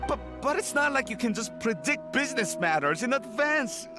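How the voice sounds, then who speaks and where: A flustered merchant in a video game, pleading and agitated. His voice is high for a man and jumps around a lot, over background music. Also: big pitch swings, normal speed. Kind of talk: animated video game voice acting.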